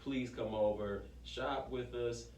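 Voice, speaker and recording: A man's voice: medium pitch, fairly even intonation, slow, a little mellow. A man being interviewed as a business owner, talking casually and promotionally in an informal, emphatic way with repeated phrases. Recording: echoey room, distant mic, faint noise.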